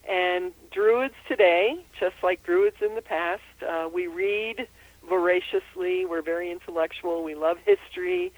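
A woman's voice: lowish voice, a little slow, no room sound, rough recording. An older woman from Austria, a herbalist and author, giving interview answers in a thoughtful, explanatory way with frequent ums. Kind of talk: interview answers over the phone.

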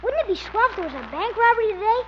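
A performer playing an excitable, eager small boy in 1950s radio comedy style. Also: very high-pitched, rapid-fire. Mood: excited. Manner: eager, exclamatory. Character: excitable small boy who loves shootouts. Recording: muffled 1950s radio recording, faint noise.

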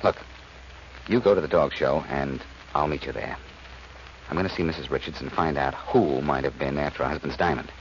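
A man's voice: very deep voice, a little fast. A man acting a wry, confident detective in 1940s American radio style. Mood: shrewd, intent. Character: wry, quick-witted male amateur detective, confident and pressing. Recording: muffled, noisy old radio recording.

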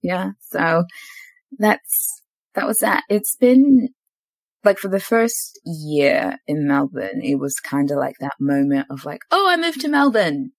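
A woman in her twenties hosts a podcast in a low, grounded voice. She tells stories in a chatty, animated way and laughs easily. The sound is close and dry, with no room echo. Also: slow pace. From Zimbabwe and New Zealand. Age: 20s. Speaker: podcast host. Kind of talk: casual conversation.

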